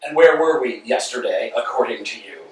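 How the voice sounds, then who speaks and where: An actor playing Vladimir, an eager, fussy male tramp, in a staged reading, swinging between hushed musing and excited outbursts. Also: medium pitch, a little fast, natural voice. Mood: fussing. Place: indoor rehearsal room. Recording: echoey room from far off.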